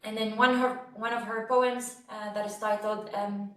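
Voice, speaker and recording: A woman moderating a panel discussion, speaking reflectively and haltingly with many fillers. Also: medium pitch, little pitch movement, fairly bright tone, distant mic. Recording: echoey hall from off-mic.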